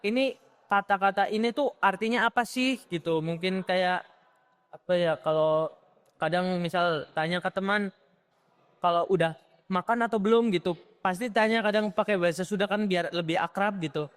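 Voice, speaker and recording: Young guy w a javanese accent, kinda unsure, lots of ums, high smooth voice. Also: moderate pace. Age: young adult. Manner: hesitant, many fillers, unsure. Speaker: student interviewee. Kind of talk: casual group interview.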